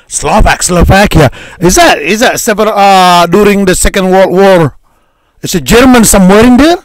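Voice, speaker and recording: A man's voice: sits high, normal speed, no echo, low-quality recording. A man from North Sumatra in his late forties, hosting a casual video-call chat in a rambling way, repeating his words. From North Sumatra, Indonesia. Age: 40s. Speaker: host.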